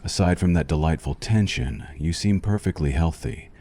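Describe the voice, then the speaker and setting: A man playing a suave, possessive vampire doctor in an ASMR roleplay, speaking formally, with teasing and quiet menace. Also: low voice, moderate pace, close to an everyday voice. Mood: clinical, teasing. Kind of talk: ASMR roleplay, scripted monologue to the listener.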